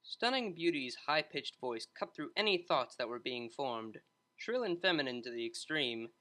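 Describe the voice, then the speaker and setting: A man narrating a scripted story in an expressive reading style. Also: mid-range voice, moderate pace.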